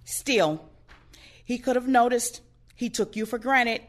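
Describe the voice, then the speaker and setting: A woman playing a blunt, sardonic, protective older woman in an audio play, outspoken and expressive. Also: on the high side, takes it slowly. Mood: bitter, blunt.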